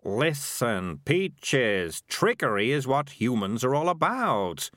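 A man's voice: medium pitch, big pitch swings, slow pace, fairly bright tone, dry recording, studio quality, voice pushed up. A man voicing a cynical, bossy talking animal schemer who lectures in a wheedling, condescending way in a storybook reading. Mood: cynical, exasperated.

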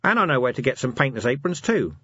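A man's voice: medium pitch, big pitch swings, quick pace, sharp tone, husky, no echo, dull audio, voice pushed up, full character voice. A man voicing a scheming, cheeky city watchman in an audiobook story, rambling eagerly in colloquial speech. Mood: pleased.

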